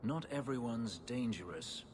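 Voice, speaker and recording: A man's voice: mid-range voice, animated, unhurried, a little mellow, big-room sound, slight background noise, natural voice. A man voicing a terse, brooding warrior in a video game. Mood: brooding, guarded. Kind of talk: video game dialogue acting.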